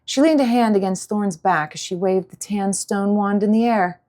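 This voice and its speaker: A woman's voice: mid-range voice, normal speed, a little mellow. A woman audiobook narrator reading a scripted story in a dramatic style.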